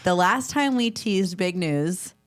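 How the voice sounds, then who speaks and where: A woman co-hosting a married couple's podcast, chatting in an upbeat, excitable way, with a lingering cough. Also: on the low side, takes it slowly. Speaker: podcast co-host. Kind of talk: casual chat.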